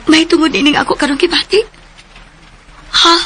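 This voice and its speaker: A woman's voice: high-pitched, steady tone, moderate pace, sharp tone. An actress voicing a troubled young married woman haunted by a child's cry, pleading and tearful in a radio drama.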